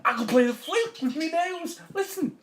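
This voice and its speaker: Man putting on an excitable high-pitched talking dog. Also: moderate pace, noisy background. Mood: indignant, eager. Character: excitable, eager talking dog who flees his owner.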